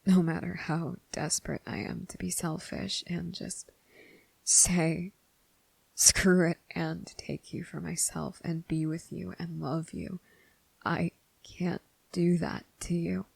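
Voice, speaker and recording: A man playing a gentle, anguished young vampire in an ASMR roleplay, speaking in a hushed, halting way. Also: a little high, level tone, no echo, full character voice, rough recording. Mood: anguished, fearful. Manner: hesitant, halting, breathy, hushed.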